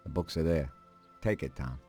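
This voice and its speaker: Mob bookkeeper in a crime game: very low voice, solemn, formal, anxious, music behind. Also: male voice, normal speed, dark tone, slightly rough. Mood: grateful, solemn. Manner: earnest, formal, polite. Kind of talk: dramatic video game dialogue.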